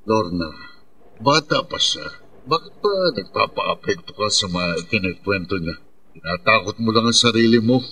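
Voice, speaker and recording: A man playing a level-headed, dismissive skeptic in a horror radio drama, with a husky voice. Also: fairly low pitch, animated, moderate pace, sharp tone, heavily acted. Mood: weary disbelief.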